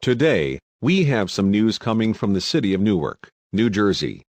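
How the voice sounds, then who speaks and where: A man playing a sober local news anchor, reading a scripted newscast in a measured, formal way. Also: fairly low pitch, very animated, darkish tone, no room sound.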